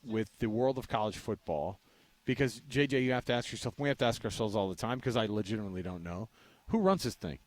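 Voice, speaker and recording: An American man co-hosting a sports radio show in casual talk, animated and repeating phrases for emphasis, often with rhetorical questions. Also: medium pitch, no room sound.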